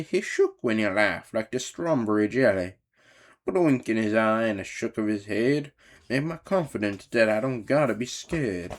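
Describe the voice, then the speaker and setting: A man playing a folksy old Cajun storyteller, narrating a dramatic Christmas verse in a Cajun accent. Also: medium pitch.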